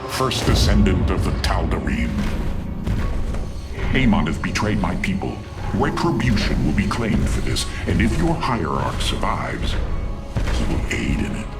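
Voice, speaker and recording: Game villain: arrogant, vengeful alien overlord. Rock-bottom gravelly register, slow haughty delivery, theatrical. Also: male voice, low voice, sing-song, loud music behind the voice, exaggerated voice. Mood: imperious. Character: arrogant, vengeful male alien warlord, coldly sardonic. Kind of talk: dramatic video game voice acting.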